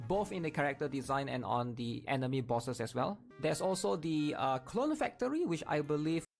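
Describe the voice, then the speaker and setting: Man, casual gaming commentary, explanatory, gravelly fry, faint music behind, addresses viewers. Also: on the high side, big pitch swings, normal speed. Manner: explanatory, often checking in with viewers. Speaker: gaming video creator.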